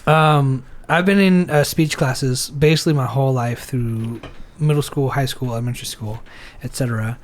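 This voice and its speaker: A young man co-hosting a casual beer podcast, who can't pronounce the letter R and tosses in "bro" with quick reactions. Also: mid-range voice. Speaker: podcast co-host. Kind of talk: casual chat over beer.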